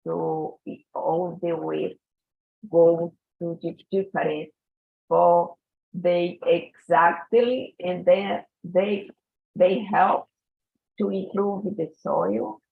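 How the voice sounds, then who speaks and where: Woman, slow gravelly lecture over call. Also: on the low side, steady tone. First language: Portuguese. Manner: unhurried, searching for English words. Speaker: guest speaker. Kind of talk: online conference talk. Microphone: video call. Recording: tinny phone-like video call audio.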